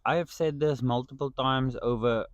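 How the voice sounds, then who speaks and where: A man's voice: medium pitch, normal speed, no room sound, dull audio. A man whose first language is Afrikaans, talking as a podcast guest in a casual, earnest and playful way. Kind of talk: casual chat.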